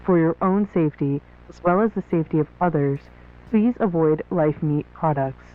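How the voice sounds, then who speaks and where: A man's voice: fairly high pitch, moderate pace. A man playing a formal, impersonal emergency announcer reading an official warning through a radio filter. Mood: grave, formal. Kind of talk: formal scripted public warning. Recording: thin phone-like radio broadcast.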